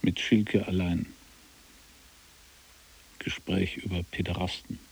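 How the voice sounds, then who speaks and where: An actor playing an imprisoned resistance fighter who questions soberly and insistently in a husky voice in a radio drama. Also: male voice, sits low, level tone, slow pace, darkish tone, heavily acted, rough recording. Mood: sober, curious. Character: imprisoned male resistance fighter, probing, principled, persistent.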